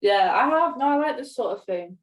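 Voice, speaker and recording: A woman's voice: fairly high pitch, expressive, brisk. A young woman co-hosting a podcast in casual chat. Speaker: podcast co-host. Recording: distant from the microphone.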